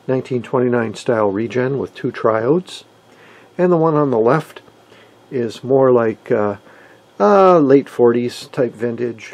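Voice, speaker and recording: A man giving a casual, halting, conversational explainer as an enthusiastic radio hobbyist. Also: mid-range voice, gravelly, a little mellow. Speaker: amateur radio hobbyist presenter.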